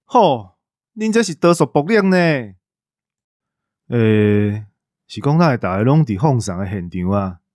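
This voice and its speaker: A man's voice: mid-range voice, sing-song, measured pace, long pauses, a little mellow, no room sound, clean studio sound. A man with a Taiwanese accent co-hosting with casual comic banter, playfully whining and complaining. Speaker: co-host.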